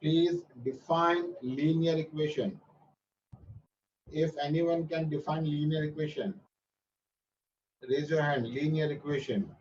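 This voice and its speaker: A man's voice: a little high, steady tone, slow pace, long pauses, darkish tone, a little hiss or hum. A man with an Indian English accent teaching a maths class online, patient and repeating his instructions, sometimes switching into Hindi. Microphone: video call. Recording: muffled distant online-class audio.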